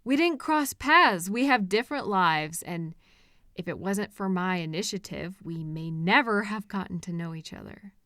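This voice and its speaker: A woman playing an obsessive, possessive kidnapper in an intimate roleplay audio drama, coaxing and patient with a condescending edge and hesitant pauses. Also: on the low side, animated, bright, forceful tone, no echo, clean studio sound. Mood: wistful, earnest. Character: obsessive, possessive female kidnapper, soothing and delusional.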